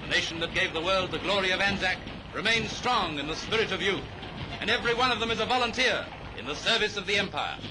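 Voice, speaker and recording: A British man narrating a 1930s newsreel in a brisk, declamatory, jaunty announcer's manner. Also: high-pitched, animated, noisy background. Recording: vintage 1930s newsreel soundtrack, music underneath. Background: music.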